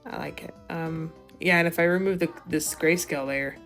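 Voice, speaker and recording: A young woman with a scratchy, hoarse voice rambling casually as she draws, swearing and muttering to herself. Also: deep voice, fairly even intonation, moderate pace, a bit bright, faint music behind. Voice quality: scratchy, vocal fry. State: voice giving out, hoarse. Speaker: art vlogger. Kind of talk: rambling casual commentary while drawing.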